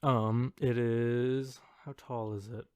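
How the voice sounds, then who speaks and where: A man co-hosting a podcast in casual chat, halting and tentative as he thinks aloud. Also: mid-range voice, flat delivery, darkish tone, clean tone, no room sound, clean studio sound. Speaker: podcast co-host.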